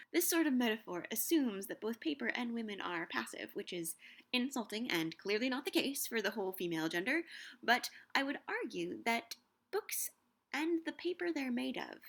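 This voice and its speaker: A young woman giving a scripted, measured talk on Shakespeare and books, slowing down to savor quoted lines. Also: fairly high pitch, a bit bright. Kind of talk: scripted educational narration.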